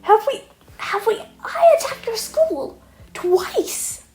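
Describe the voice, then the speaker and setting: A woman's voice: very high voice, very animated, slow, a little mellow, pitched above the performer's own voice, heavily acted. A woman voicing a scraggly, petulant male villain who is awkward, easily offended, hesitant and indignant. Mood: indignant disbelief. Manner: indignant, hesitant, repeating himself. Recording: echoey room, audible noise.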